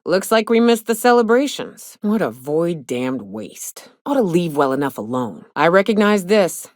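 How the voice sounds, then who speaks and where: A brash gunslinger woman, on the low side and very expressive in pitch. She drawls wry, folksy, salty remarks like a video game companion from a space crew. The sound is close and dry. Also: moderate pace, dry recording. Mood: wry, sardonic. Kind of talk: video game voice acting, short companion lines.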